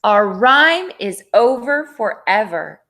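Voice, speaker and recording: A woman voicing an excitable, dramatic male cat in a children's storybook. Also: on the high side, slow pace, exaggerated voice. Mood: despairing.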